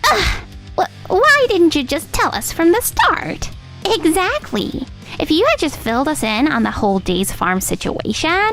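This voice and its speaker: A woman playing a haughty, snobbish younger sister in an expressive manga dub, with a dismissive, theatrical delivery. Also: sits high, a little slow, soft background music, heavily acted. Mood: indignant.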